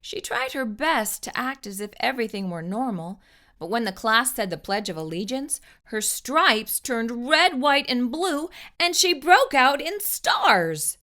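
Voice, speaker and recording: A woman narrating a children's storybook in an expressive, deliberate way as a storyteller. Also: fairly high pitch.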